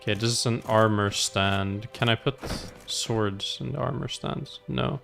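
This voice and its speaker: A man's voice: lowish voice, unhurried, gravelly. A man doing casual, upbeat gameplay commentary as a streamer, thinking aloud as he goes. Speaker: game streamer. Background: faint game music.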